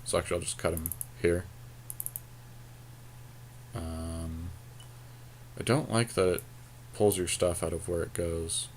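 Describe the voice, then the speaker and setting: A man with a fairly low voice talks very slowly and casually. He thinks out loud in short, colloquial bits, like a podcast co-host working through choices in a card game. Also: little pitch movement, slight background noise. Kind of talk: casual chat while drafting a card game.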